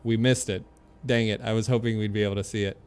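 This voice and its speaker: A man's voice: fairly low pitch, fairly even intonation, fast talker, a little mellow, smooth. A man doing casual, playful live gameplay commentary, talking to the characters in the game and swearing now and then. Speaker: game streamer.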